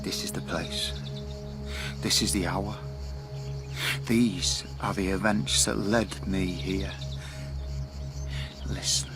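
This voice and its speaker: An actor playing the grieving, haunted ghost of a young Spanish courtier, speaking solemn, declamatory verse in a radio drama. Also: male voice, deep voice, fairly even intonation, slow, pauses often, music in the background. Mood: solemn, mournful.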